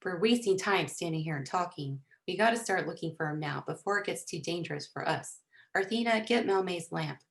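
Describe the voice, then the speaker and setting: A woman's voice: lowish voice, animated, a little fast, creaky. A woman voicing an anxious, insistent young storybook character with a tearful, urgent manner. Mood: urgent pleading. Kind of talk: acted audiobook dialogue.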